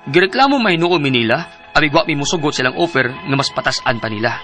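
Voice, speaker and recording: A man's voice: fairly high pitch, expressive, fast talker, pushed and bright, soft background music. An actor with a Cebuano Filipino accent playing a worried, earnest husband in his thirties who seeks advice in a radio drama. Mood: worried, pleading. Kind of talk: radio drama acting and letter narration.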